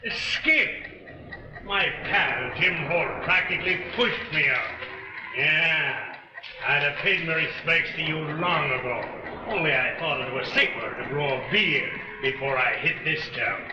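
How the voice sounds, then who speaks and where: Slow, sneering 1930s escaped convict. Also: male voice, fairly high pitch, lively intonation. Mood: sardonic, menacing. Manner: slangy, sneering, unhurried. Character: embittered, sardonic escaped convict, aged by prison, menacing burglar. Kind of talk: 1930s film acting. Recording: muffled, hissy, echoey, distant 1930s film soundtrack.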